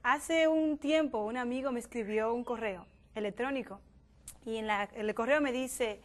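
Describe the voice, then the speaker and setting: High-voiced young woman hosting. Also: moderate pace. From Dominican Republic. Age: young adult. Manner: lively, laughs often. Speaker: host. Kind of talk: youth talk show hosting. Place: forest-themed TV studio set. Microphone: lapel mic.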